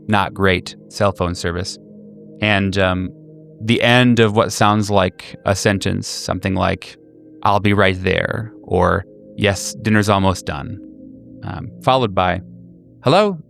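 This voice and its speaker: A man narrating as game master in a tabletop roleplaying game, choosing his words haltingly. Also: low-pitched, vocal fry, a bit bright.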